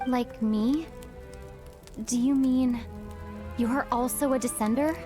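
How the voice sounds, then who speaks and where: A woman playing an earnest, gentle young traveler in a video game, speaking in a hesitant, questioning way. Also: high-pitched, takes it slowly. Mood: curious. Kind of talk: video game character acting. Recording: faint background music.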